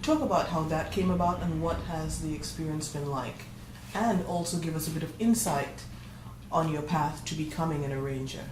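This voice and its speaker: A woman's voice: sits low, moderate pace, noisy background. A woman hosting an interview, asking questions and prompting her guest, often finishing his sentences. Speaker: interviewer, host. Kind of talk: conversational interview. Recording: echoey room, faint ambience.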